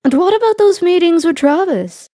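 Casting: actress for loving, apologetic mother in a visual novel, warm dark tone, dry recording. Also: very high voice, lively intonation, normal speed, dry recording. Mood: tender. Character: tender, apologetic middle-aged mother.

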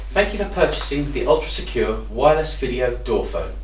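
A man's voice: mid-range voice, sing-song, a little fast, slight background noise. A man giving an instructional product demonstration. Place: indoor room with hard walls. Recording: roomy echo, distant microphone.

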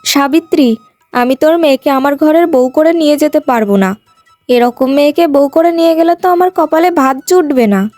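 A choosy middle-aged cartoon matron, played by a woman, speaking forthrightly in a fairly high voice. The recording is dry, with faint music behind. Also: normal speed, mellow, dry recording, lightly acted. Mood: disapproving. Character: choosy middle-aged mother of a prospective groom.

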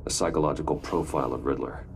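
An actor voicing a reserved, brooding billionaire detective in a video game, with a gravelly voice. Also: male voice, rumbling low, steady tone, quick pace, slight background noise. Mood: serious, focused. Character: brooding adult billionaire businessman, secretly a vigilante detective. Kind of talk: cinematic video game dialogue.

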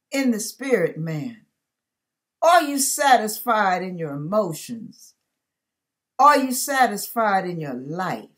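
A woman's voice: mid-range voice, a bit bright. An older woman giving an informal devotional talk to camera, conversational and emphatic, often asking rhetorical questions. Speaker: online ministry host. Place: furnished room at home.

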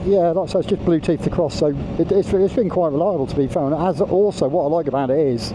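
A man's voice: on the high side, fast talker. A man vlogging from his motorbike through a helmet mic, rambling and chatty, often losing his thread. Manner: rambling, self-interrupting, chatty. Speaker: motovlogger. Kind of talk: casual riding commentary. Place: on a motorbike on country roads. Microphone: wireless mic inside helmet. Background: wind and engine noise.

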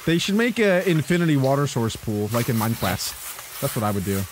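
A young man co-hosting a reaction chat, goofy and quick to change his mind, at a studio mic. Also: mid-range voice, very animated, slight background noise. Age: young adult. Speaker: co-host reacting to videos.

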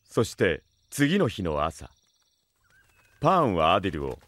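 A man narrating a fantasy audio drama in a slow, deliberate, storybook manner. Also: deep voice, huge pitch swings, a bit bright, dry recording, full character voice. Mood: calm, solemn. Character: calm, storybook male narrator of fantasy tale.

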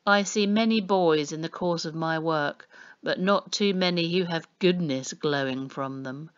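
A woman's voice: low-pitched, measured pace, clean tone. Storybook policeman performed by a woman: pompous, sneering, mock-polite menace, dull-sounding recording. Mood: suspicious. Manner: mock-polite, snapping, sarcastic.

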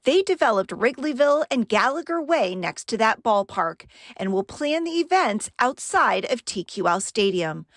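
A woman in her forties narrating a news report as an investigative reporter, in a crisp, measured delivery. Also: a little high, very animated, a bit bright, no room sound, clean studio sound. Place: outdoors beside a parking garage.

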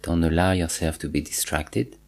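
A man guiding a body scan meditation, giving measured instructions in short phrases with pauses and repetition. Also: low-pitched, steady tone, darkish tone. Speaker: meditation teacher.

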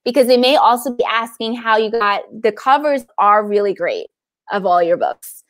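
A woman's voice: a little high, lively intonation, moderate pace. A woman in her thirties hosting a casual online library event in a chatty, upbeat way over an earphone headset. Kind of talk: casual interview chat. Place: indoor room, video call. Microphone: earphone headset on video call.

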